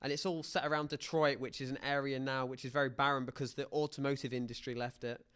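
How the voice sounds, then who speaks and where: A man's voice: mid-range voice, little pitch movement, fast, fairly bright tone, clear, resonant tone. A man hosting a casual film discussion, opinionated and prone to acting out hypothetical reactions. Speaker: podcast host.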